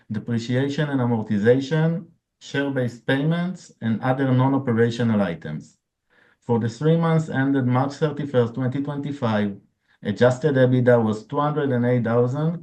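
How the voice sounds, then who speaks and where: A man's voice: medium pitch, dark tone. A man speaking as a finance chief, formally reading out figures from a scripted financial report on an earnings call. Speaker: chief financial officer presenting results.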